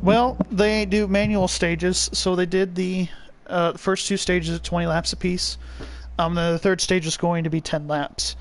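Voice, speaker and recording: A man's voice: high-pitched, little pitch movement, a little slow. A young man giving loose, chatty live commentary on a sim race and reading viewer comments aloud.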